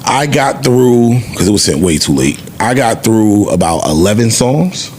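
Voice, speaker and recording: Man, lowish pitch, casual podcast co-host, conversational delivery, slight background noise. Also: expressive, unhurried, a little mellow. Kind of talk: casual music discussion.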